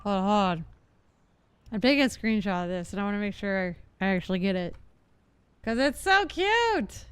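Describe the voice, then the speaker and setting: A woman's voice: medium pitch, big pitch swings, normal speed, long pauses, clean tone, no echo. A woman giving casual, teasing live commentary as she streams a game. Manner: chatty, teasing, giggly. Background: faint game music.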